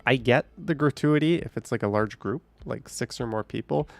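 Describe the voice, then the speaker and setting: Guy on a live stream, kinda gravelly voice, rambling and cursing a bit, soft music behind. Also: mid-range voice, normal speed, no room sound. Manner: chatty, thinks aloud, swears casually. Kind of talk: casual live stream commentary.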